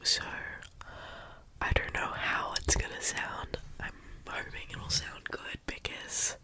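Young woman with a cold doing ASMR: slow, soft close-mic whispering, stuffy and slightly croaky. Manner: soft whispering, slow and unhurried with frequent ums. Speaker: ASMR creator. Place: small bedroom indoors. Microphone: close studio mic with foam cover.

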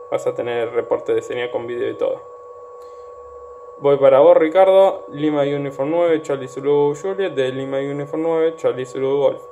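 A man's voice: mid-range voice, dark tone. A man with a Buenos Aires Argentine accent, an amateur radio operator talking casually and using phonetic call signs. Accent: Argentine, Buenos Aires area. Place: indoors at a desk radio set. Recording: dull sound with clear background noise.

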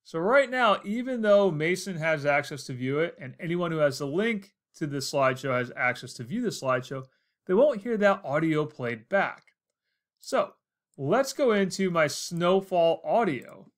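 A man's voice: fairly high pitch, animated, normal speed. An American man in his forties narrating a casual instructional screencast, explaining things step by step. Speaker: tutorial host.

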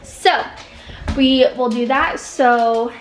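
A woman's voice: fairly high pitch, steady tone, very slow, soft background music, poor audio. A woman in her twenties casually chatting as she films her house, rambling and talking to viewers. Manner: chatty, rambling, filming handheld while walking through the house. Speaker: vlogger. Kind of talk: casual home vlog. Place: home rooms, indoors. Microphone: phone or camera held at arm's length.

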